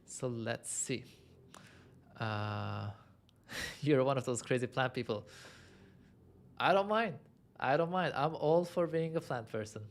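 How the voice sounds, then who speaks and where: Chatty man with a gravelly voice. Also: mid-range voice, takes it slowly, long pauses. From Lebanon. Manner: chatty, self-amused, thinks aloud with many fillers. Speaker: livestream host. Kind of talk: casual livestream chat answering viewer questions.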